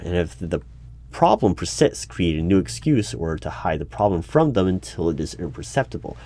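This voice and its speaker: A man playing a wary, probing hiring manager in a one-sided audio roleplay, speaking haltingly with stammers and repeats. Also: deep voice, warm tone. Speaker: hiring manager at a secret agency. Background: computer keyboard typing.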